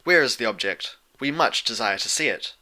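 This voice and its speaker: A man's voice: mid-range voice, animated, moderate pace, bright, forceful tone. A man voicing a regal, haughty female pony princess of the night with formal, imperious speech. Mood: stern, eager.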